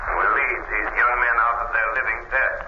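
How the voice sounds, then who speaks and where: A man playing a mysterious, resolute crime-fighter in 1930s radio drama, speaking in a formal, measured, commanding way. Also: mid-range voice, flat delivery, close to an everyday voice. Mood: grave, measured. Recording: old 1930s radio, muffled, echoey, loud noise.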